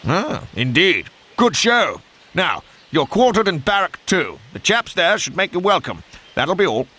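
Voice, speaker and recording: An actor playing a genial upper-class British wing commander in a video game, with a plummy British accent and jovial, courteous military slang. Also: male voice, sits high, very animated, a little slow, bright, forceful tone, slightly rough, heavily acted. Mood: jovial, encouraging. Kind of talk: video game cutscene acting.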